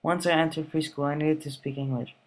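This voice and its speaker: A teenage boy from the United States reading his school essay aloud in a steady, plain way. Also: a little high, a little fast, low-quality recording. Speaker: student.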